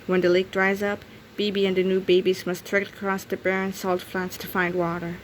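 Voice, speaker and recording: A woman reading a children's picture book aloud in an expressive storytelling manner. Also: medium pitch, moderate pace, a little hiss or hum, poor audio. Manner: expressive storytelling with acted character voices. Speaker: storybook reader.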